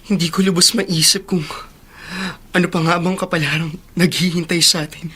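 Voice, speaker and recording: A man's voice: high voice, flat delivery, normal speed, pushed and bright, husky, heavily acted. A man acting an afflicted elder brother in a radio drama, sincere and heavy-hearted. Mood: sorrowful, resolute. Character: earnest, afflicted elder brother clinging to faith.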